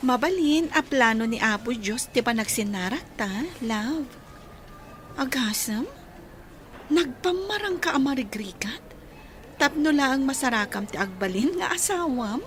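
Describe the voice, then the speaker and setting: A woman's voice: sits high, expressive, unhurried, slight background noise. A woman with an Ilocano accent playing a teasing, tender young sweetheart in a radio drama. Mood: affectionate.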